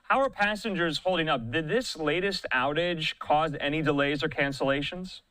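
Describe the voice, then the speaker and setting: A man's voice: fairly high pitch, moderate pace, pushed and bright, clear, resonant tone, low-quality recording. A man anchoring live breaking news as a news anchor, speaking close to the microphone.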